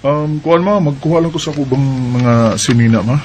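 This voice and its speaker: A man's voice: a little high, brisk, a little mellow, slight background noise, close to an everyday voice. A young man acting the lead in a homemade radio drama, playful and laughing when courting, earnest when troubled.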